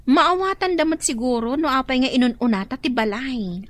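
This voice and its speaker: A woman playing a high-voiced, defensive elder sister. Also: normal speed, dry recording, close to an everyday voice. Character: elder sister abroad, proud of new house. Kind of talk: radio drama acting.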